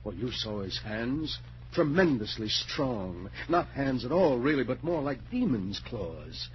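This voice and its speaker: An actor playing a sly, morbid invalid gentleman who gloats with theatrical politeness, in a 1940s radio horror style. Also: medium pitch, big pitch swings. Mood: grave, explaining. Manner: polite, theatrical, drawn-out relish. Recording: dull noisy 1940s radio recording with hum.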